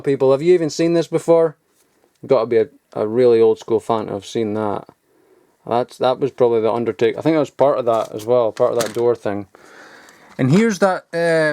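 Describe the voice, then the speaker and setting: A man's voice: mid-range voice, darkish tone, a little hiss or hum. A young man rambling casually as he shows off old collectible toys in his home loft, offhand and chuckling at himself. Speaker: toy collector vlogger. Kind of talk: casual show-and-tell.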